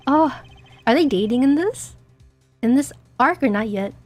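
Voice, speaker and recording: A woman streaming a game, her voice high but going hoarse after a long session. She chatters, giggles and makes crude jokes. Soft music runs in the background. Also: expressive, measured pace. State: hoarse, voice tiring. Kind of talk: casual gaming stream chat.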